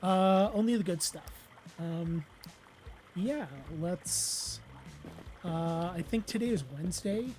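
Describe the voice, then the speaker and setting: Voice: medium pitch, takes it slowly, darkish tone, a little hiss or hum. A let's play host giving casual gameplay commentary, rambling with lots of fillers and self-deprecating asides, voice weakened and strained from losing it.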